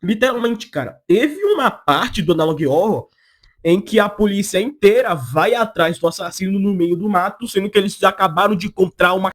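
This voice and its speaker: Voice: mid-range voice, lively intonation, moderate pace. A person with a Brazilian Portuguese accent giving casual opinion commentary as a video essayist, in a blunt, slangy, sarcastic way.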